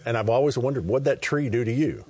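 A man's voice: medium pitch, animated, quick pace, dark tone. A man hosting a conversational Bible talk, affirming his guest and then explaining scripture himself. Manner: affirming, chiming in with agreement.

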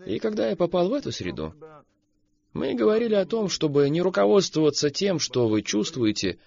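Man, preacher, host, animated storyteller, lively pitch, dull lo-fi sound. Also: a little fast, vocal fry. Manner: animated storytelling about flying.

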